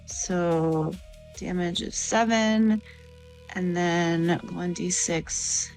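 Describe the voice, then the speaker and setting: A woman talks through her turn in a casual tabletop game. Her voice is slightly low and unhurried, with music underneath. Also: a little mellow, poor audio.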